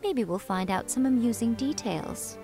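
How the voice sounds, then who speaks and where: A sly shrine priestess talks with amused, condescending playfulness, her pitch rising and falling expressively. Game music plays clearly behind her. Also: lively intonation, moderate pace. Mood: teasing. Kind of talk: video game voice acting.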